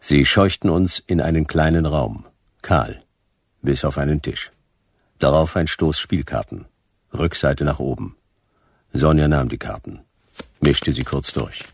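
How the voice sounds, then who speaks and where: An actor playing a dry, hardboiled private detective, laconic and deadpan, in a noir radio play. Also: male voice, very deep voice, measured pace, vocal fry, pauses often, husky, dry recording, full character voice. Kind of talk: first-person noir radio play narration and dialogue.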